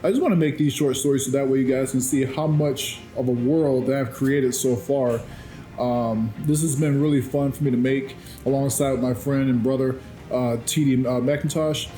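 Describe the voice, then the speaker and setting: Casual male creator-host, mid-pitched and mellow, echoey room, music underneath. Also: fairly even intonation, normal speed. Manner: informal, conversational. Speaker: story creator and channel host. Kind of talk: casual unscripted outro to viewers. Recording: roomy echo with music behind.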